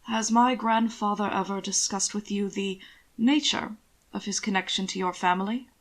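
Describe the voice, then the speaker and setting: A woman plays a proud nobleman in a storybook romance. He speaks slightly low, with dry, formal courtesy and a probing edge. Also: steady tone, normal speed, natural voice. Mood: cool, courteous. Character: proud, probing aristocratic grandson, an alpha lord.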